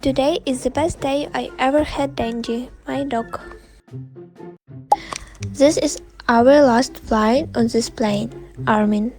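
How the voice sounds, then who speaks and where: High-voiced woman dubbing comics. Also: darkish tone, low-quality recording. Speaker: comic dub narrator. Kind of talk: dramatic comic dub voice acting. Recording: music behind the voice.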